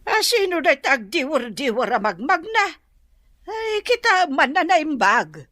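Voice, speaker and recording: Older ilocano nanay, scolding and fretting, slowish, highish voice, radio drama. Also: female voice, very animated, sharp tone, no echo, clean studio sound, heavily acted. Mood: alarmed, scolding. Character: fretful, scolding older village mother, comic.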